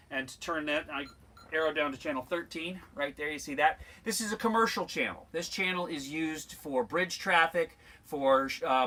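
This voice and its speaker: A man's voice: a little high, pushed and bright. An American man giving an informal, plain-spoken instructional demonstration as a sailing instructor, emphatic and repeating his points.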